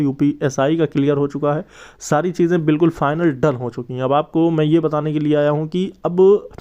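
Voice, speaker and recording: Guy doing an informal update for his channel, slightly high but soft mellow voice, earnest and kinda wordy. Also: clear, resonant tone, muffled recording. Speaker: exam-news channel host and tutor. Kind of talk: informal exam update addressed to viewers.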